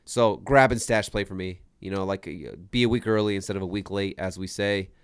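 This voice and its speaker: Congested young man, low smooth voice. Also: fairly quick, no room sound, clean studio sound. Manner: emphatic, repeats his points. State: congested from a sinus infection. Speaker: fantasy football podcast co-host. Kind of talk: casual podcast chat.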